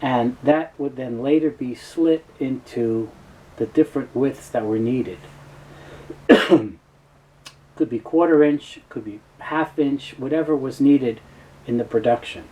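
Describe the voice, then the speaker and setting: A man presenting an informal explainer in a halting, conversational way, repeating words as he goes. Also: medium pitch, dark tone, rough recording. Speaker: presenter and tape seller. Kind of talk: informal explainer talk on video.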